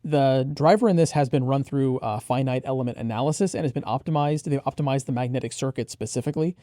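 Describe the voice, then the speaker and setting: A man co-hosting a product preview, explaining technical details in a measured, conversational way. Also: medium pitch, dark tone, no room sound, studio quality.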